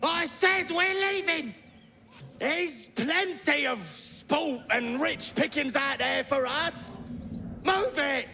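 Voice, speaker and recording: A man voicing a brutish, cowardly goblin raider in a video game, with rough, slangy speech. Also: very high voice, slow pace, big-room sound, noisy background, exaggerated voice. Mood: commanding.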